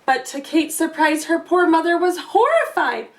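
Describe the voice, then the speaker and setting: A woman reading a storybook aloud expressively for children, pausing to put questions to listeners. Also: very high-pitched, big pitch swings, far from the mic. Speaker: storybook reader.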